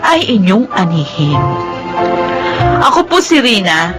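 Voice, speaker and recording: A woman's voice: mid-range voice, big pitch swings, slow pace, loud music behind the voice. An actress playing a remorseful, earnest young single mother in a radio drama, with a Filipino accent. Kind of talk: radio drama acting and narration.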